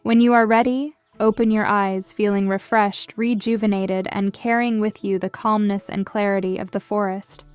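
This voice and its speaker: A woman narrating a scripted guided meditation in a soothing, measured and gentle manner. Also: mid-range voice, smooth, no room sound. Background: background music.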